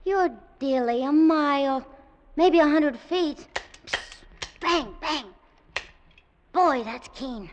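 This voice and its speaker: A high, boyish voice plays an excitable, cautious ten-year-old. The chatter is slangy 1940s radio acting, heard on a dull old recording with faint noise. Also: female voice, takes it slowly, long pauses. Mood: excited. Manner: boyish slangy chatter, eager yet hesitant.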